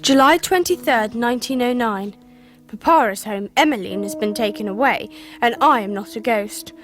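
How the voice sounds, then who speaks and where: A woman's voice: fairly high pitch, normal speed, fairly bright tone, soft background music, close to an everyday voice. An actress playing an earnest, well-bred thirteen-year-old English girl in a radio drama, with formal, proper diction. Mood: earnest, confiding.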